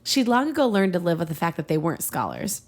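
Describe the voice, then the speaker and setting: A woman's voice: fairly low pitch, sing-song, quick pace. A woman co-hosting a podcast, reading fan fiction aloud with casual, giggly banter. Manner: giggly, breaking into laughter mid-reading. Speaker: podcast co-host and reader.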